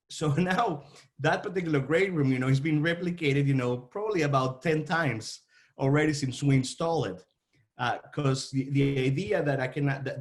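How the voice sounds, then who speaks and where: A man answering interview questions about his trade in a halting, repetitive way, searching for his words as he explains. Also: mid-range voice. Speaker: audio-visual installation company representative.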